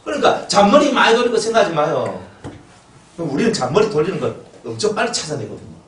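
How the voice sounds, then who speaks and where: A male pastor with a Gyeongsang Korean accent delivers a lively, joking sermon, his pitch jumping up and down. His voice sits fairly high for a man. It is picked up from a distance in an echoey church hall, with faint noise underneath. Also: fast talker. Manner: animated and joking, acts out quotes in dialect. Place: church sanctuary.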